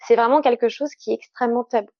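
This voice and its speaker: Higher-voiced woman, muffled dry sound. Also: fairly even intonation, quick pace. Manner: earnest, explanatory, frequent fillers. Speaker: sexologist guest. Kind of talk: casual interview conversation.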